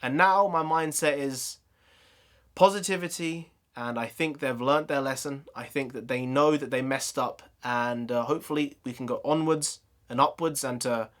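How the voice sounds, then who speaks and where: A man talking straight to camera in a casual vlog, his voice a little hoarse from overuse. He rants and rambles in a chatty, animated way at a middle pitch. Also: moderate pace. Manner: chatty, ranting, animated sign-off. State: voice going hoarse. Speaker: YouTube vlogger.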